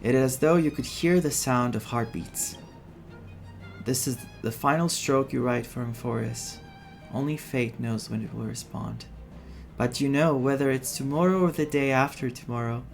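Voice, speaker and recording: A man streaming a story game, reading dialogue aloud and adding his own expressive reactions. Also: mid-range voice, gravelly. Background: game music.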